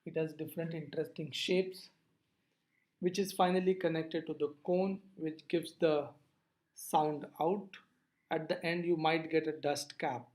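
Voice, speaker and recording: Mellow-voiced physics lecturer. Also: mid-range voice, fairly even intonation. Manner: didactic, deliberate, poses questions then answers them. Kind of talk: explanatory lecture over slides.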